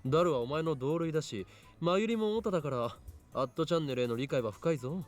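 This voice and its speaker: A man's voice: a little high, animated, very fast, faint music behind, close to an everyday voice. A man voicing an eccentric, mocking young lab leader in a Japanese visual novel, pompous and declamatory. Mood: teasing.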